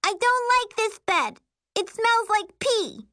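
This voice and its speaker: A very high-pitched little girl, shy and pouty. She whines stubbornly, with a tearful edge and a childish delivery. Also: animated, takes it slowly, sharp tone, no echo, clean studio sound, heavily acted. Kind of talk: audiobook character voice.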